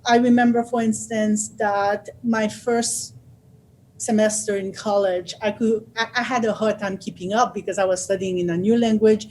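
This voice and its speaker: A woman from Haiti, telling her own story as a guest in a thoughtful way, often pausing with fillers and restarting. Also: mid-range voice, steady tone, darkish tone, rough recording. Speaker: author and guest speaker. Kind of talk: personal storytelling.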